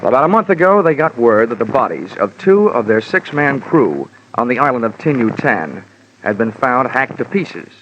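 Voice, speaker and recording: An actor playing a wry, world-weary American insurance investigator in a 1950s radio detective drama, sardonic and wisecracking. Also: male voice, medium pitch, big pitch swings, moderate pace, fairly bright tone, a little hiss or hum. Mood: sardonic, impatient. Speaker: lead and narrator. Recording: dull old radio recording, music.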